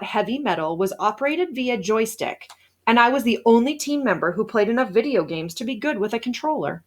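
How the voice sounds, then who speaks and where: A woman narrating a children's novel aloud in an expressive, storytelling style. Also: a little high. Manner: animated, acts out dialogue.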